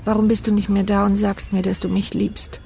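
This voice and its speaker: A woman's voice: mid-range voice, flat delivery, fairly quick, creaky, mellow, slight background noise, lightly acted. An actress voicing a paralysed, blind and mute woman in a radio drama, narrating her inner thoughts in a dry, sardonic, reflective way.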